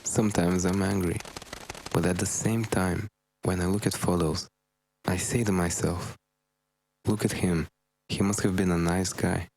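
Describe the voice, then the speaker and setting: Low-voiced, reproachful grown son. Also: male voice, fairly even intonation, unhurried, gravelly, darkish tone, slight background noise, poor audio. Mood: reflective. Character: grown son bitterly addressing his father, weary and reproachful. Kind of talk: scripted animated documentary narration.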